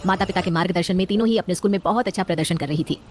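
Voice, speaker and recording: A woman's voice: on the low side, racing. A woman narrating a scripted cartoon family story as its storyteller.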